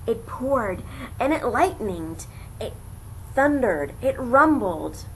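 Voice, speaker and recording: A woman narrates a children's story in a fairly high, animated voice. She takes her time and draws out phrases dramatically. It is an amateur home recording with some background noise. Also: slow, rough recording. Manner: dramatic, lingering on repeated phrases.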